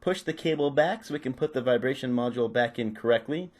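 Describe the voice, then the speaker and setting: A man's voice: mid-range voice, lively intonation, quick pace. A man giving casual, unscripted step-by-step instruction as he works with his hands. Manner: unscripted, narrating while working. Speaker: repair tutorial presenter.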